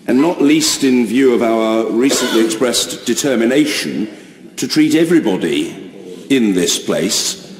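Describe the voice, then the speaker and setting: Orotund man giving a deliberate ruling. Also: on the low side, a little mellow. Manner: orotund, deliberate, elaborate phrasing. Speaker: speaker of the house. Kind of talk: formal chairing ruling. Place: large debating chamber. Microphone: chamber microphone, seated. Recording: roomy chamber with long reverb.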